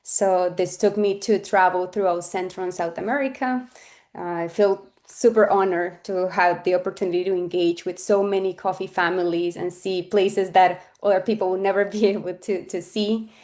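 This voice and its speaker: A woman's voice: on the low side, steady tone, moderate pace, a little mellow. A woman in her thirties from Colombia, presenting on a webinar over a video call in an earnest, loose way, with fillers and restarts. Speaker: webinar speaker. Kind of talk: presenting on a webinar, casual and personal.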